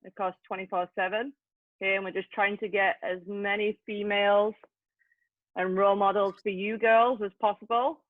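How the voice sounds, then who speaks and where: A woman's voice: mid-range voice, flat delivery, normal speed, no room sound. A woman coach with an English accent hosting a video call Q&A, chatty and often saying "okay". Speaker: youth soccer coach, host. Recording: tinny, dry video call audio.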